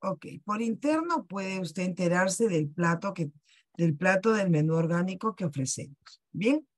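A woman's voice: fairly low pitch, lively intonation, a little slow, no echo, studio quality. A woman with a Peruvian Spanish accent hosts a wellness workshop on a video call, selling in a persuasive way and asking rhetorical questions. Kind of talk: sales presentation on a video call.

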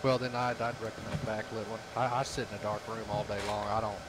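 A man's voice: fairly low pitch, flat delivery, fast. A man chatting casually with fellow gamers over voice chat. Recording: noisy voice chat, clear hiss.

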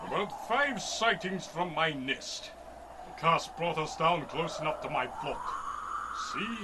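A man's voice: fairly high pitch, expressive, a little slow, very noisy. A man playing a boisterous, proud winged falcon-man leader in a grandiose, declamatory old-time radio serial style. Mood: grand, proud.

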